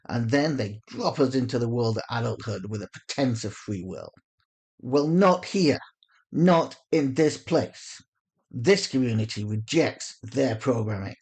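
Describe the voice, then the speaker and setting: Husky man narrating dramatic fiction. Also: mid-range voice, darkish tone. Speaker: story narrator.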